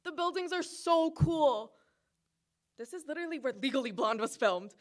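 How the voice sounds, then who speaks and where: A woman's voice: high voice, normal speed, pitched above the performer's own voice. A woman acting out a squealing, starstruck young sightseer. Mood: thrilled. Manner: squealing, breathless excitement. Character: starstruck young woman seeing Harvard, gushing. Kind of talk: acted quote in a staged personal story.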